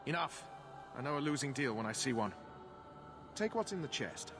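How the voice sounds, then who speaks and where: A man's voice: medium pitch, very animated, normal speed, over music, lightly acted. A man voicing a shrewd smuggler businessman in a video game, bargaining in a dry, businesslike tone.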